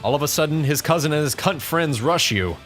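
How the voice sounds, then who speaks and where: A man's voice: a little high, expressive, a little fast, fairly bright tone, faint music behind. A man narrating a scripted audio drama as storyteller.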